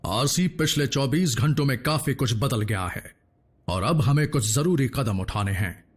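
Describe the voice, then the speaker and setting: A man voicing a solemn, commanding heroic robot leader in a dubbed cartoon, with a measured, authoritative manner. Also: medium pitch, expressive, husky, no echo. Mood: grave, commanding.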